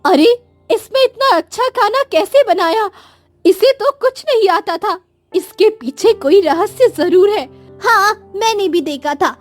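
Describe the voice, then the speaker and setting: A woman acts out a scheming female in-law from a cartoon family tale. The voice is high, haughty and scornful, full of spite, with light music underneath. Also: animated, measured pace, no room sound. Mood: suspicious. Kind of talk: cartoon moral story acting.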